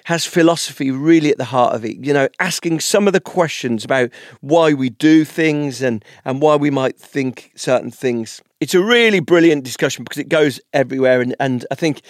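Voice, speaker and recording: A man's voice: mid-range voice, normal speed, hardly any pauses, dry recording, clean studio sound. A man hosting a podcast in a conversational interview style, speaking in an enthusiastic, effusive way.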